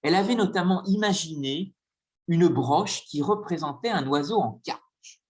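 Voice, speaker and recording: A man giving a conference lecture with slides, speaking hesitantly with frequent filler pauses. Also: fairly high pitch, lively intonation.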